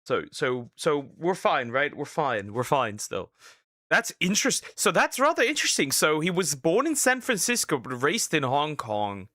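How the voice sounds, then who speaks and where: A bright-voiced man in his twenties reacts live to a video, excitable and prone to stammering restarts. He fires off sarcastic little quips in a fairly high voice, and the sound is dry and close. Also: moderate pace, no echo. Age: 20s. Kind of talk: casual live reaction commentary.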